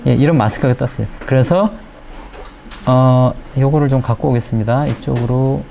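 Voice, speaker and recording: A man, giving a step-by-step software tutorial and repeating phrases as he explains. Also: medium pitch, normal speed, vocal fry, slight background noise. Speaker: video editing instructor. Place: indoor lecture room in front of a large screen. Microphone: lapel mic.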